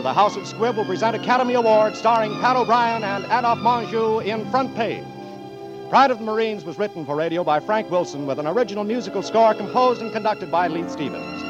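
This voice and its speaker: A male radio announcer reading sponsor copy and closing credits in a formal, polished manner. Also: high-pitched. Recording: dull old radio broadcast with music.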